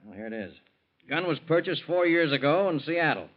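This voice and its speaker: Matter-of-fact radio police captain, muffled sound. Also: male voice, a little high, animated. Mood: businesslike. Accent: American. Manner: brisk, factual, colloquial. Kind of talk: 1940s radio acting. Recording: muffled old radio broadcast.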